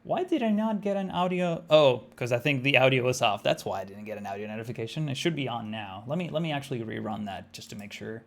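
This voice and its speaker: A man's voice: medium pitch, gravelly, a bit bright. A young man from Argentina with an Argentine Spanish accent, live-streaming a game and chatting casually with viewers, thinking aloud with many fillers. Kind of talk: live-streamed gameplay chat.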